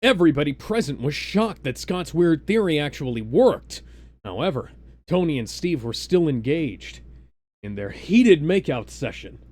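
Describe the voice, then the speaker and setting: Male stream host, slightly high register, hammy comic reader and chatty, exclaiming presenter. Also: sing-song, moderate pace, darkish tone. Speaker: livestream host. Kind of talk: dramatic comic read-aloud and casual livestream banter.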